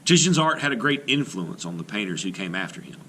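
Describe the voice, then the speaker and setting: Lively art teacher reading aloud. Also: male voice, lowish voice, a little fast, a little hiss or hum. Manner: lively, emphatic read-aloud delivery with asides.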